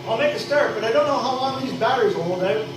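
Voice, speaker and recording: A man's voice: very high-pitched, animated, quick pace. An actor playing a practical young townsman in a live radio play, earnest and a bit hesitant. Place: convention hall. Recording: very echoey hall, from far off, noisy.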